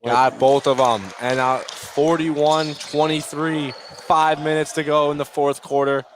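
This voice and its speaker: A man doing live play-by-play radio commentary of a high school basketball game in clipped running phrases. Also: little pitch movement, measured pace, slight background noise.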